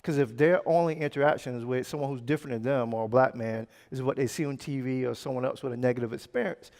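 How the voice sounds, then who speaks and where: A man interviewing a guest on stage, speaking earnestly, restarting words and rushing in quick bursts. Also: medium pitch, vocal fry, darkish tone. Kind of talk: fireside chat on stage. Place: conference stage in a large hall. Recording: long hall reverb, stage mics.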